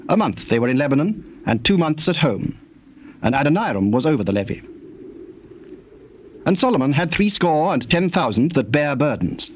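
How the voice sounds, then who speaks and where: A man narrating scripture from a script in a formal, measured manner. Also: on the high side, slight background noise.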